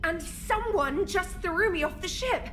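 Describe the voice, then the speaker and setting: High, animated ship's tech specialist. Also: female voice, measured pace, a little hiss or hum, full character voice. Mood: indignant, bewildered. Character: flustered, articulate female starship technical specialist. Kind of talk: cinematic video game acting.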